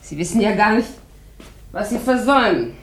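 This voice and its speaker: An actress playing a jaded, hard-drinking, sharp-tongued woman in a 1960s German radio crime drama, with an ironic, languid manner. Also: medium pitch, expressive, normal speed, slight background noise, natural voice. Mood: wry, reminiscing.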